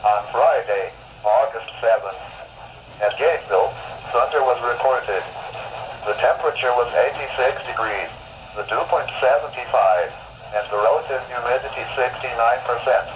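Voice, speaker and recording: A synthetic man's voice of middling pitch delivers an automated weather report in formal, segmented phrases. It comes through a radio, muffled and clearly noisy. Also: normal speed. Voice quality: synthetic text-to-speech voice. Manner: flat, formal, segmented reading. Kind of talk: scripted weather report readout.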